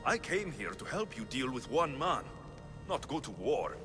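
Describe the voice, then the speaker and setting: An actor playing Alexios, a dry, steady mercenary in a game cutscene. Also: male voice, medium pitch, unhurried, long reverb tail, over music. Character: Alexios, male Spartan-born mercenary, dry wit. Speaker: game protagonist. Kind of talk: video game cutscene acting.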